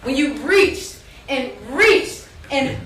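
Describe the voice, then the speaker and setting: Actress as proud outspoken woman, theatrical spoken-word style, forceful bright voice, distant in echoey hall. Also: high-pitched, expressive, takes it slowly, exaggerated voice. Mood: impassioned, proud. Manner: declamatory, emphatic. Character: proud, outspoken woman friend, poetic and affirming. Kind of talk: theatrical stage acting with spoken-word delivery. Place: indoor stage, live play. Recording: echoey stage hall, distant mic, noisy.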